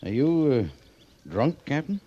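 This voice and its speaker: An actor playing a stern, exasperated commanding major in a radio western, brusque, sarcastic and authoritative. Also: male voice, mid-range voice, big pitch swings, slow pace, breathy edge, exaggerated voice. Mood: irritated, stern. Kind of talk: 1950s radio western acting. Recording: dull old radio recording.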